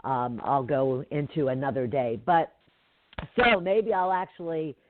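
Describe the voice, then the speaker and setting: A woman hosting a live talk radio show, congested from allergies, with a deeper-than-usual voice and an occasional cough, chatting casually with plenty of fillers. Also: a little slow, background noise. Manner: chatty, rambling, many fillers. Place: home in Atlanta. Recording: tinny phone line.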